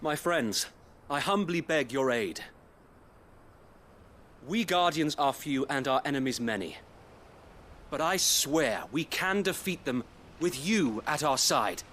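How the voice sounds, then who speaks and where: An actor playing a humble, earnest guardsman making a measured, formal plea. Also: fairly high pitch, lively intonation, fairly bright tone, a little hiss or hum. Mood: earnest, pleading.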